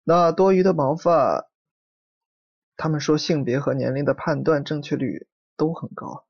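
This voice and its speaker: An actor playing a dutiful junior police officer in an audio drama, reporting in a formal, businesslike way. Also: male voice, a little high, steady tone, moderate pace, gravelly, a little mellow, slightly rough, no echo, muffled recording. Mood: formal, earnest.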